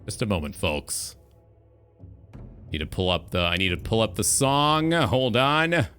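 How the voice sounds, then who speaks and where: A man's voice: fairly low pitch, measured pace, fairly bright tone, smooth. A man hosting a casual livestream talk show, speaking in an earnest, reflective monologue with music playing behind him.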